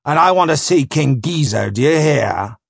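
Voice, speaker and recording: A gruff sea captain, performed by a male narrator, bullying and quick-tempered. His delivery swings widely and often climbs into a bark, recorded close and dry for an audiobook. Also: a little high, expressive, no echo. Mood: grudging, suspicious. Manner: growling, barking, bullying. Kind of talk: dramatised audiobook dialogue.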